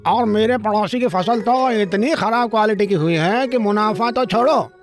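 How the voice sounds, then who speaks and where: A spiteful village farmer acted by a man gloats in a cartoon tale, in a high male voice over faint music. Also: normal speed. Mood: gloating. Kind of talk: cartoon story dialogue.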